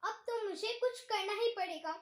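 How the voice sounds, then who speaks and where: A woman's voice: extremely high pitch, moderate pace. A woman playing an emotional, easily hurt but determined daughter-in-law who loves food, in an amateur moral story. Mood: stung, determined. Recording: roomy, echoing sound.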